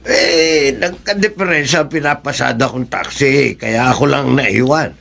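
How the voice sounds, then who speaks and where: An actor playing a talkative, folksy taxi driver in a Filipino radio drama, with a Filipino accent. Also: male voice, medium pitch, a little slow, vocal fry, a little hiss or hum. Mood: chatty. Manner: folksy, animated, chatty. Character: friendly, talkative male taxi driver, family acquaintance.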